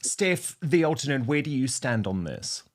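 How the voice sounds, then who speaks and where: A male host steers a political panel, putting leading questions and affirming his guests' answers. His voice is mid-pitched for a man. His manner is earnest and encouraging. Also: sing-song, moderate pace, noisy background. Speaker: show host. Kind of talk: political talk show hosting.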